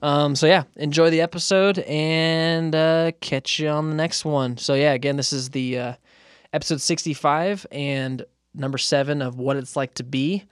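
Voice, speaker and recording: A man's voice: fairly high pitch, fairly even intonation, normal speed, clear, resonant tone, no room sound. Casual American man hosting a podcast, dry sound. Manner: informal, loose, conversational. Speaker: podcast host and interviewer.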